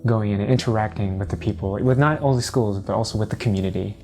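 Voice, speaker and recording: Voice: medium pitch, quick pace, dark tone, soft background music. A person from California answering interview questions with enthusiasm.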